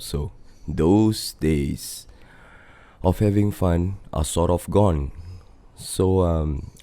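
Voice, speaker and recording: Very deep-voiced Singaporean man. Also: mellow, dry recording. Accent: Singaporean English. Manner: rambling, frequent fillers and swearing, laid-back. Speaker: podcast host and band vocalist. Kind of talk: casual solo podcast monologue.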